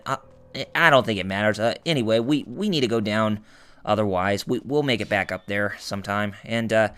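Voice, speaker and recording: A man with a hoarse, raspy voice hosting a let's play, rambling through his gameplay commentary with lots of fillers, restarts and small jokes. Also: lowish voice, a bit bright.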